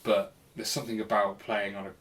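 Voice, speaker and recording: A man's voice: lowish voice, flat delivery, a little fast, fairly bright tone. A man hosting a podcast in casual, rambling, jokey chat with a friend. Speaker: podcast host. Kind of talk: casual chat about retro games.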